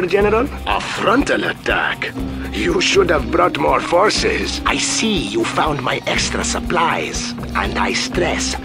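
An actor playing a proud, menacing guerrilla commander in a video game, with a theatrical, pompous, taunting delivery. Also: male voice, on the high side, creaky, heavily acted. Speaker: villain general taunting the player.

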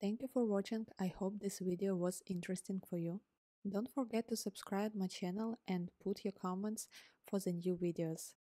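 A woman whose first language is Ukrainian, calmly narrating a scripted coding tutorial step by step as host. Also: medium pitch, normal speed, no echo. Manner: calm, step-by-step explaining. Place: voice-over on screen recording.

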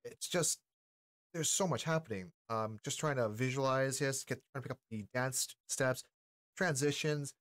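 A man in his forties giving casual, slangy reaction commentary in a halting, enthusiastic way as a video host. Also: medium pitch, a bit bright. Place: small indoor streaming room. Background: pop music.

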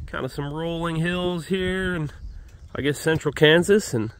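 A man's voice: on the high side, measured pace. A man in his thirties talking casually to an arm's-length camera outdoors on a motorcycle trip, rambling with easy fillers, a little stuffed up. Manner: easygoing, rambling, frequent "uh" and praise asides. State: stuffed up from allergies. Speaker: motorcycle travel vlogger. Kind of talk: casual on-the-road narration. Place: outdoors by roadsides and a riverbank. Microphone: action camera or phone at arm's length, some helmet-mounted.

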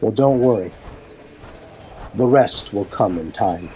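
A man's voice: fairly low pitch, lively intonation, takes it slowly, dark tone, a little hiss or hum. A man voicing a commanding but kindly young troop leader in a fan-fiction audiobook. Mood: reassuring. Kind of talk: audiobook character dialogue.

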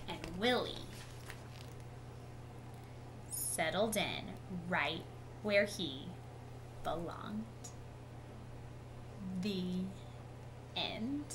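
Slow-paced woman reading a picture book. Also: fairly low pitch. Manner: expressive, animated storytelling. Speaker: teacher reading aloud. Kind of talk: picture-book read-aloud for children. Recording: clearly noisy home webcam recording.

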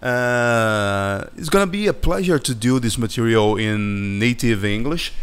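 Slow Brazilian man with a smooth voice. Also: mid-range voice. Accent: Brazilian Portuguese. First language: Portuguese. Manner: slow and halting, searching for words, friendly. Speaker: animator and channel host. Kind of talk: casual vlog chat over a screen recording.

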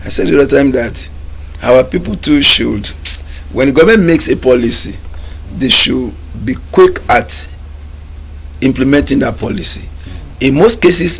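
Gravelly Nigerian man, emphatic studio guest. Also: mid-range voice, moderate pace. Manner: emphatic, repeats words while gathering thoughts. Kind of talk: studio talk show discussion. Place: TV studio lounge set.

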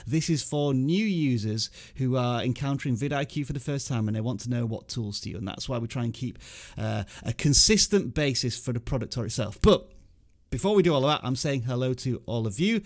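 A man's voice: mid-range voice, lively intonation, a little fast. A man in his thirties with an English accent, hosting a casual livestream from a small home studio and cheerfully greeting viewers in the chat. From England. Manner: upbeat, reading out chat names and greeting viewers. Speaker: livestream host. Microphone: studio microphone on arm, headphones.

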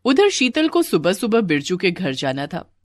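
Woman narrator with lively pitch. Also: normal speed. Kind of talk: scripted story narration.

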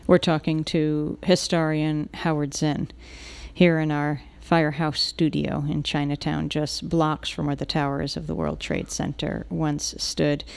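A woman's voice: low-pitched, flat delivery, measured pace, vocal fry, a little mellow, clean tone. A woman hosting a news interview in a studio, asking brief, direct questions. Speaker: interviewer and host.

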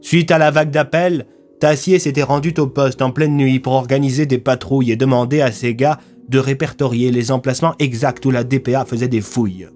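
Narrator for audio fiction: young man, mid-pitched, scripted dramatic storytelling, light music bed. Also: steady tone, fairly quick, darkish tone. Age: young adult.

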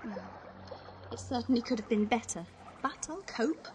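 A woman's voice: fairly high pitch, sing-song, normal speed, background noise. A woman playing a strained, exasperated mother in an audio drama, blunt and emotional. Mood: weary.

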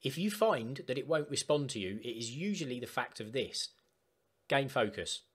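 A man chatting casually through a step-by-step software tutorial for gamers. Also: medium pitch, expressive, moderate pace, breathy edge. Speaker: gaming tutorial host. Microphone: desktop microphone, voice-over of screen capture.

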